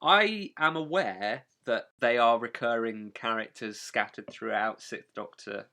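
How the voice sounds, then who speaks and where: A man chatting casually as a podcast co-host, explaining things in a wry, animated way. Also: medium pitch, a little slow. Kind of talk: casual chat.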